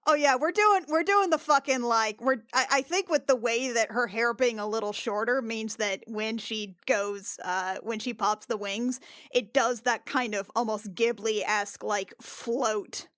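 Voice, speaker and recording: A woman with a fairly high voice, recorded dry and without room sound. She talks casually as a tabletop player, improvising her narration in halting bursts and thinking aloud with the odd swear word. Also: a bit bright, no echo. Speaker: player in a tabletop RPG podcast.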